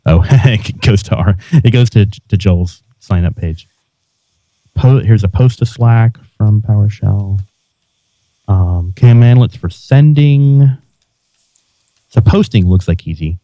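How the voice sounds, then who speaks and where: A man's voice: fairly low pitch, takes it slowly, dark tone, no room sound. A man hosting a podcast, chatting casually and restarting his sentences often. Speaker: podcast host. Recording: dull lo-fi sound.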